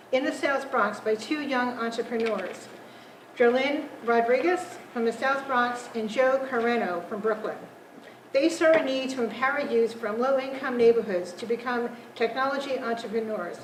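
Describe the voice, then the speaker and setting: A woman's voice: on the high side, noisy background. A woman delivering a formal prepared speech at a conference, reading steadily from her text. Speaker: panel speaker. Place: large conference room. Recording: echoey conference room, distant mic.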